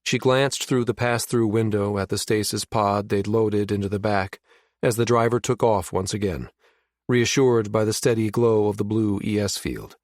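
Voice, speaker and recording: A man reads science fiction narration in a steady, measured way. His voice sits low, and the recording is dry and close with no room sound. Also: dry recording. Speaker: audiobook narrator. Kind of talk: scripted narration.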